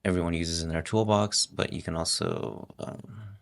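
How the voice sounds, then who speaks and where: Deep-voiced middle-aged man, gravelly, monotone, casual podcast guest. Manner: relaxed, halting, with many restarts. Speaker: podcast guest, host's husband. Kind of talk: casual interview talk.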